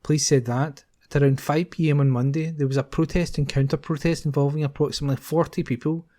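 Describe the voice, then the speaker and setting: Man reading news in a mellow voice. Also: a little high, fast. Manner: steady, careful reading with occasional restarts on words. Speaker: volunteer newspaper reader. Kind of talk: reading newspaper articles aloud.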